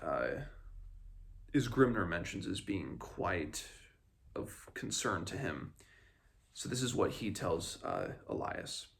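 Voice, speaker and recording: A man hosts a casual book discussion in a fairly low voice with plenty of vocal fry. He explains as he goes, in a loose, rambling and slightly hesitant way. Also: a bit bright. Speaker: host of a book read-along. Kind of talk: casual book discussion with passages read aloud.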